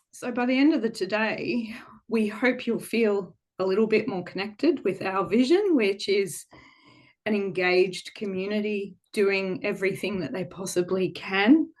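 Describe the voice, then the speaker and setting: A woman co-hosting an online webinar over a video call, her voice a little croaky from a head cold, speaking informally with frequent ums. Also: medium pitch. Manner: warm, frequent ums, relaxed informal phrasing. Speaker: webinar co-host.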